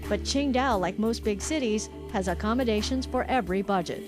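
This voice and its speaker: A woman's voice: mid-range voice, a little fast. A woman reporter born in Hong Kong hosting a travel show, narrating a scripted, upbeat voice-over. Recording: voice-over with music bed. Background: music.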